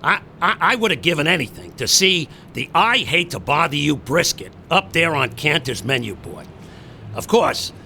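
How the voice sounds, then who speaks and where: An actor playing a hesitant, wry, rumpled man with a halting delivery in a comic audio story. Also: fairly high pitch, creaky, bright, forceful tone, slight background noise, exaggerated voice. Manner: halting, muttering asides. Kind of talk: comic celebrity impression.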